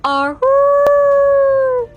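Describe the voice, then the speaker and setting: A woman voicing a small, proud, stubborn boy wolf cub in a storybook, boastful, loud and eager. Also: very high voice, very animated, very slow, lo-fi sound, over music, pitched above the performer's own voice, full character voice. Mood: howling.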